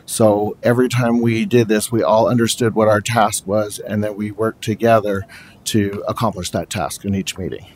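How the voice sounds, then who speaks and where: A man who is a special education teacher, giving a steady, explanatory presentation to a school board in a large meeting room and often pausing on fillers. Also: fairly low pitch, a little hiss or hum. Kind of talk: formal presentation.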